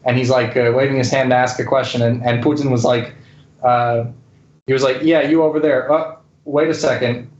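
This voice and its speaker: A man's voice: medium pitch, steady tone, moderate pace, a little mellow. Man chatting casually in an echoey room. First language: English and Spanish. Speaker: guest, correspondent and news anchor. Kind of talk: casual interview chat. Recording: dull lo-fi roomy sound.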